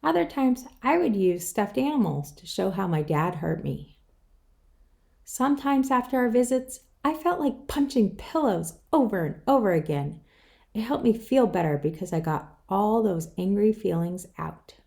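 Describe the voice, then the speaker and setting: Woman, children's book read-aloud, mellow dark tone, creaky edge, scripted narration. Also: medium pitch, animated, moderate pace. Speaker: author reading own book aloud.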